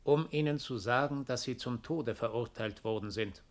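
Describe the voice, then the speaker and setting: Male actor as shadowy doppelgänger, stern, curt, firm, medium-pitched, grand, 1960s radio drama. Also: moderate pace, natural voice. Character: stern shadowy double, a male bearer of doom. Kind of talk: 1960s radio play acting.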